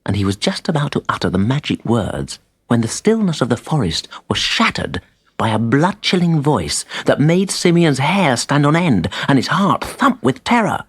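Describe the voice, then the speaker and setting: A man narrating a children's audiobook in an expressive, dramatic storytelling manner. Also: medium pitch, moderate pace, husky.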